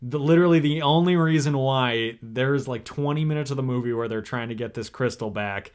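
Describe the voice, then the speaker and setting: A man's voice: medium pitch, fairly quick. A young man co-hosting a casual chat, wry and skeptical, laughing often. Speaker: co-host.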